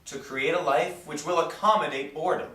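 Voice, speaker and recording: A man performing a stage monologue as an earnest, disillusioned writer who muses philosophically and deliberately. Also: a little high, steady tone, sharp tone. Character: earnest, disillusioned male writer musing on life. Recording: echoey room, far from mic.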